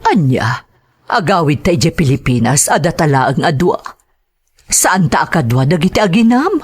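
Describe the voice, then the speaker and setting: An anxious middle-aged Filipino mother played by a woman in an audio drama. Her delivery swings widely in pitch as she pleads with feeling. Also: big pitch swings, normal speed, breathy edge, exaggerated voice. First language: Ilocano. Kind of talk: emotional audio drama acting.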